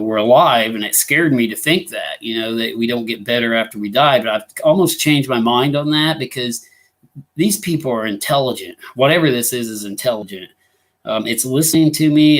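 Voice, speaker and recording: A man's voice: medium pitch, fairly bright tone. A man from Illinois hosting a live talk show, chatting casually and rambling with many fillers and restarts. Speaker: ghost hunting group host.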